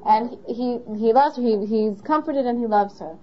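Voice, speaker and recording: A woman's voice: fairly high pitch, moderate pace, slight background noise. A woman teaching a Torah lesson, asking questions as she explains. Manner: asks the listener questions, patient. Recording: dull lo-fi recording.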